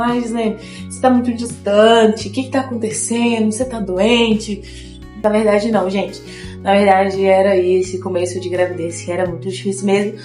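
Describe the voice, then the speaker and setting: A woman's voice: mid-range voice, darkish tone. A young woman talks casually to her viewers, her voice a little nasal from a lingering cold. She keeps repeating phrases as she chats. The room is echoey, and faint guitar music plays underneath. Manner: chatty, repeats herself, often addresses viewers as "gente". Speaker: vlogger. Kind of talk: casual chat to camera.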